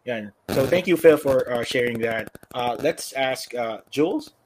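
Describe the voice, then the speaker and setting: A man with a Filipino accent moderating a panel at a middle pitch. He speaks hesitantly and leans on fillers, switching between English and Tagalog. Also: measured pace, a little hiss or hum, poor audio.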